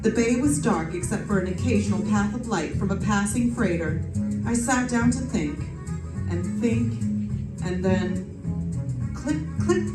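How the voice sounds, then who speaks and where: A woman's voice: mid-range voice, a little slow, lightly acted. A woman playing a wisecracking, breezy female private detective, wry and playful, in 1940s radio drama style. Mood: brisk storytelling. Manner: wry, playful, theatrical delivery. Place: live stage performance in a room. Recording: roomy stage, distant mic, music behind.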